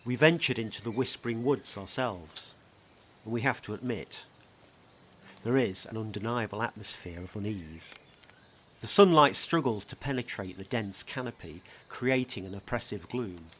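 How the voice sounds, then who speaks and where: A man narrating a scripted true-crime mystery in a measured, dramatic, portentous manner. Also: on the low side, lively intonation, fairly bright tone, a little hiss or hum. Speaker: narrator, host.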